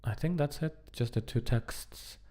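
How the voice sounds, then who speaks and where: A man giving casual live-coding commentary, thinking aloud in a halting, muttering way as he works. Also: a little high, mellow. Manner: halting, muttering to himself while working. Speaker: programmer live-streaming a coding project.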